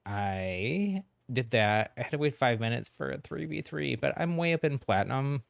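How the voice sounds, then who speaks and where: Male game streamer, clear smooth voice at an ordinary pitch, friendly and chatty, close dry sound. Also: expressive, normal speed, no room sound. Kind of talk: casual livestream chat with viewers.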